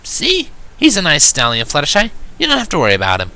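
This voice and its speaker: A man's voice: fairly high pitch, huge pitch swings, quick pace, sharp tone, voice pushed up, heavily acted. A man voicing a brash, sarcastic young female pegasus pony in an amateur story reading. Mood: encouraging. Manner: sarcastic, teasing. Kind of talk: amateur fan fiction character reading.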